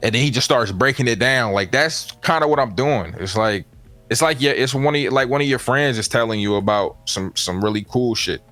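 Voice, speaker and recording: A man with a naturally deep voice, a guest on a stream, talking casually and getting animated. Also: a little fast, soft background music. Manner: animated, voice rises when excited. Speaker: guest YouTuber.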